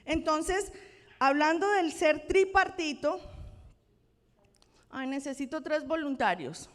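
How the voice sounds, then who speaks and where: A woman's voice: high-pitched, slow pace. A woman pastor from Colombia with a Colombian accent, preaching a conversational sermon and checking in with her congregation. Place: church sanctuary. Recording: long church-hall reverb.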